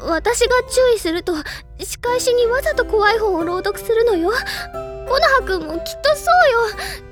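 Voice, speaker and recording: A woman playing a bold but easily spooked, pouty teenage schoolgirl, the older student, in an anime-style audio drama. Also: very high-pitched. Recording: clear background music under the voice. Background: music.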